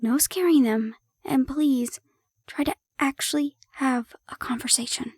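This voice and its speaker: Woman acting as a young girlfriend in audio rp, high voice, teasing and sweet-talking, bit harried, dry close sound. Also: measured pace, husky, dry recording. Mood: soft, pleading. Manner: teasing, coaxing, expressive.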